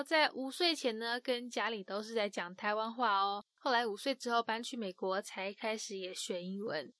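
Friendly lady narrator, a bit high-pitched, dry close sound. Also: a bit bright, dry recording. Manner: friendly, encouraging. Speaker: podcast host explaining the clip. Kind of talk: scripted narration.

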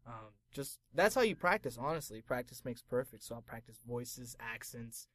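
A man from Taiwan who works as an actor talks about himself in a relaxed, casual way and laughs easily. His voice is mid-pitched. The sound is dry and close. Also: moderate pace, no room sound. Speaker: actor and guest. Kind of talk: casual interview chat.

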